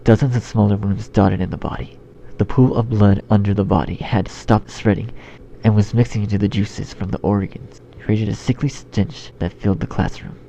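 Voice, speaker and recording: A man's voice: fairly low pitch, dark tone, lo-fi sound, a little hiss or hum. A man reading a horror story as narrator in a scripted style. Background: background music.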